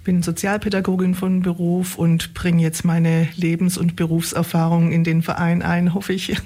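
A woman's voice: on the low side, level tone, moderate pace, mellow. A woman of sixty-one with a Swabian accent, a social worker talking chattily as a guest on a radio interview.

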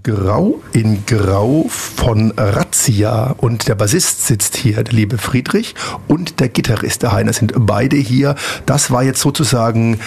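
A man's voice: medium pitch, slow pace, gravelly, darkish tone, slight background noise. A man hosting a casual radio interview. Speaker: radio host.

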